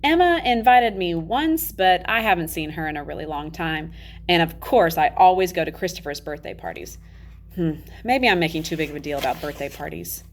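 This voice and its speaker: A woman's voice: fairly low pitch, lively intonation, a little fast, a little hiss or hum. Woman reading as a candid ten-year-old boy. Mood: chatty, reflective. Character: ten-year-old boy narrator, candid, self-aware, matter-of-fact. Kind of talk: children's novel read-aloud.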